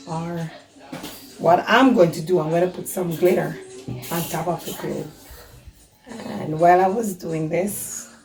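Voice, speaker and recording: A woman from Jamaica, hoarse, chatting casually through a DIY craft tutorial and explaining each step. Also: fairly low pitch, a little mellow, rough recording. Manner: chatty and instructive, apologetic about her voice.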